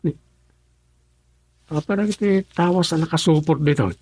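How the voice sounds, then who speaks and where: An actor playing a poor, bitter husband who wants to get rich, speaking earnestly, in a radio drama. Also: male voice, fairly high pitch, normal speed, mellow, husky, dry recording. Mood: puzzled. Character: bitter grown husband, poor and wanting riches.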